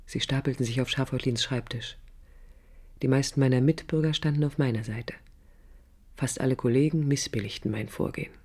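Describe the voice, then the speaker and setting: Casting: passionate young idealist architect, female, husky voice, introspective radio-play narration, intense and breathy. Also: extremely low pitch, a little fast, a little mellow. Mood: resolute, bitter. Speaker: lead actress and narrator. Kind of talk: German radio drama, introspective first-person narration. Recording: 1980s radio play production.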